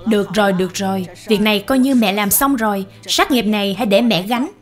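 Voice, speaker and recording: A woman's voice: mid-range voice, brisk, faint music behind, natural voice. A woman voicing an insistent, doting older country mother in a moral cartoon, speaking firmly and brushing off objections. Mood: firm, dismissive. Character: doting, insistent elderly rural mother of a businessman. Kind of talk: dubbed moral cartoon dialogue.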